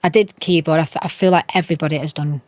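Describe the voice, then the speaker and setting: A woman's voice: sits low, fairly even intonation, quick pace, a little mellow. A young woman, a musician guest, chatting in an interview and telling stories with frequent restarts. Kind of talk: casual interview chat.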